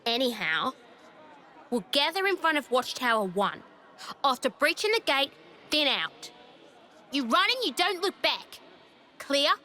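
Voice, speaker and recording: A woman's voice: high-pitched, sing-song, unhurried, long pauses, pushed and bright, a little hiss or hum, exaggerated voice. An actress playing a brash, sarcastic young woman rebel leader in a video game, with a blunt, cocky way of talking. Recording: game music behind the voice.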